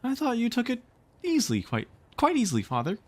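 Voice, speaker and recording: A man's voice: extremely high pitch, wildly swooping pitch, a little fast, no echo, pitched above the performer's own voice, full character voice. A man voicing an eager, helpful little girl from a storybook, earnest in manner. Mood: puzzled.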